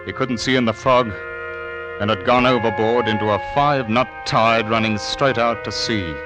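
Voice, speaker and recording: An actor playing a haunted, guilt-ridden sailing ship's captain in a vintage radio drama, brooding and confessional. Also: male voice, medium pitch, steady tone, natural voice. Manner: slow, brooding, confessional delivery. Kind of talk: 1940s-50s radio drama acting. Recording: old radio recording with music.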